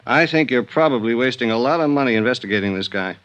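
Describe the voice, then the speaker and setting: An actor in a 1950s radio drama playing an easygoing local investigator who reports in a plain, matter-of-fact, folksy way. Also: male voice, medium pitch, lively intonation, quick pace, a bit bright, highs cut off, close to an everyday voice.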